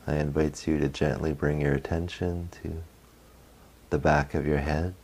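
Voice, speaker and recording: A man leading a guided meditation as a guide, speaking gently in short phrases with long pauses. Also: extremely low pitch, level tone, breathy edge.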